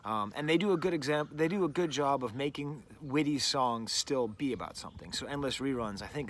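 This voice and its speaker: A man's voice: medium pitch, a bit bright, slight background noise. Rambling, chatty middle-aged man outdoors. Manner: rambling and chatty, full of "like" and "you know". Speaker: music reviewer, show host. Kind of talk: casual spoken album review. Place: outdoors, birds nearby.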